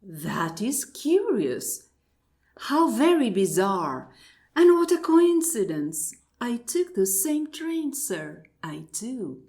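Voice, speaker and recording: A woman's voice: a little high, big pitch swings, warm tone, heavily acted. A woman playing a prim, courteous, politely baffled lady with formal delivery in an absurdist radio play. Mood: mock astonishment. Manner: formal, deliberate, mock-astonished. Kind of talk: absurdist stage dialogue read as radio play.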